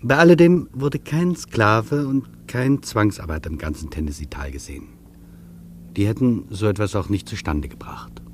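An actor playing an earnest, reflective traveller in a scripted radio feature, speaking deliberately and rhetorically. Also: male voice, fairly low pitch, lively intonation, a little mellow, a little hiss or hum, lightly acted. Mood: reflective, explanatory. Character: earnest reflective male traveller recounting an imagined interview. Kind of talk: scripted German radio feature, literary narration.

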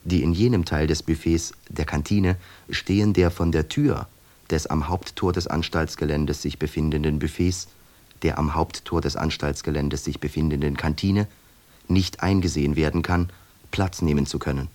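An actor playing an asylum inmate who narrates a radio play in a measured, obsessive, self-correcting way. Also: male voice, extremely low pitch, fairly even intonation, darkish tone.

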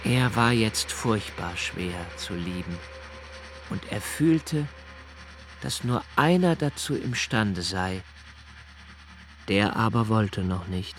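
Slow, brooding literary narrator. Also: male voice, on the low side, animated, noisy background. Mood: urgent, reflective. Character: brooding male narrator reciting a prodigal's homecoming, searching and intense. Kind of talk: literary radio drama monologue.

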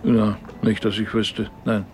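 A man playing a thoughtful, discreet rabbi in a radio drama, reserved and conversational as he quietly probes. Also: fairly low pitch, flat delivery, a little slow, a little hiss or hum. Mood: reserved, probing.

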